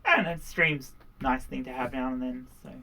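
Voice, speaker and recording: A livestream host chats in a casual, unscripted way. The voice sits at a middle pitch, and the talk is chatty and prone to laughter. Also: moderate pace, pushed and bright, slight background noise.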